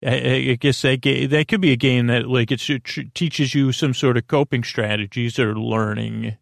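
A man with a creaky, gravelly voice hosts a rambling bedtime podcast. He speaks directly to the listener in halting, looping sentences that often backtrack. The sound is close and very dry. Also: medium pitch, steady tone, dry recording. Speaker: podcast host.

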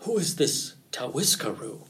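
A man's voice: on the high side, big pitch swings, measured pace, a bit bright, exaggerated voice. A man voicing a proud, stubborn male rabbit chief in an animal folktale, insistent and demanding. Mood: anxious. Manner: defiant, insistent, boastful.

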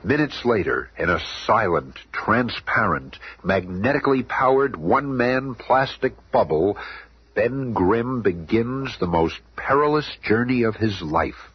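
An actor playing a grave, dramatic narrator of a superhero radio serial, with a solemn theatrical manner. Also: male voice, lowish voice, lively intonation, a little slow. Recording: noisy muffled old radio recording.

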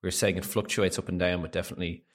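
Casting: lowish guy, late twenties, easygoing podcast co-host. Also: level tone, rapid-fire. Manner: chatty, many fillers, bursts of laughter. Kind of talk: casual chat.

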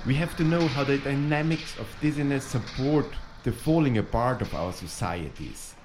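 A man's voice: medium pitch, expressive, normal speed, a little mellow, slight background noise. A man co-hosting, reading a scripted podcast introduction. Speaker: co-host.

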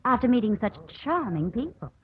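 A woman's voice: on the high side, sing-song, brisk. An actress playing a poised, gracious and flirtatious newlywed lady in a 1950s radio drama, with genteel, polished delivery. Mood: gracious, charming. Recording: tinny 1950s radio recording.

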